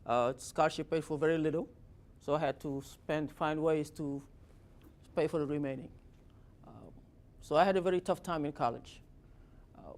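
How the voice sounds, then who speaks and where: A man from Senegal, an office director speaking informally to present himself and his work. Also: on the high side. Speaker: government office director giving a talk.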